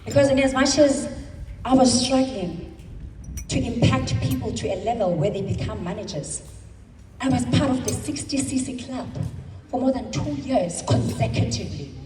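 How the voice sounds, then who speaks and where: A woman's voice: fairly high pitch, lively intonation, darkish tone, a little hiss or hum. South African woman speaking in an echoing hall. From South Africa, Eastern Cape. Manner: emphatic, with dramatic slow pauses. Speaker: convention speaker. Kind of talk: motivational speech. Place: large hall. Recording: echoey hall, speaker far from mic.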